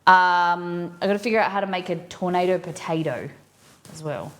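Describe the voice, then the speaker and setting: A woman's voice: lowish voice, normal speed, a bit bright. A woman with an Australian accent, hosting a casual cooking live stream and chatting informally with viewers as she thinks aloud.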